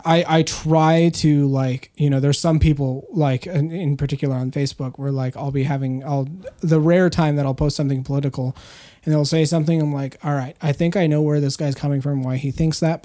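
A man's voice: fairly high pitch, mellow. A young man in casual podcast chat, rambling with lots of fillers and swearing, sitting back from the microphone. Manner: rambling, profane, filler-heavy. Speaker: podcast co-host. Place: indoor studio, leaning away from mic.

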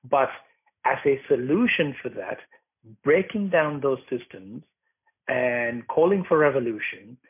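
A husky-voiced man talks through his answers in an earnest, searching way, landing hard on key words. He is heard over a thin, phone-like connection. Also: medium pitch. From Sri Lanka. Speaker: documentary maker, guest. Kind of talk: interview answers. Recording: phone-like remote line.

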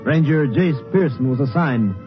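A man narrating a 1950s radio crime drama in a measured, dramatic manner. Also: mid-range voice, lively intonation. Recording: muffled old radio, music behind.

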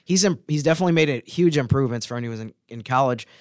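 A man's voice: mid-range voice, rapid-fire, no echo, studio quality. Conversational man co-hosting sports talk. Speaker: sports podcast co-host. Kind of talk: casual sports analysis.

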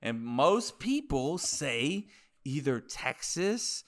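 A man's voice: a little high, sing-song, very slow. A man, co-hosting a podcast and rambling in a jokey, profane way. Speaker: podcast co-host. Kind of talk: casual podcast chat.

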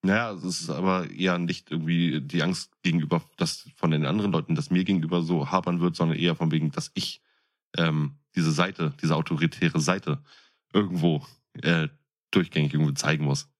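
A man's voice: low voice, steady tone, a little fast. A man co-hosting a podcast in casual chat, with a jokey, colloquial, buddy-like way of talking. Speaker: podcast co-host.

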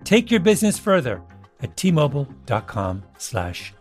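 A man's voice: on the high side, sing-song, moderate pace, soft background music. A man reading scripted advertisements as an announcer. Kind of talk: scripted ad read.

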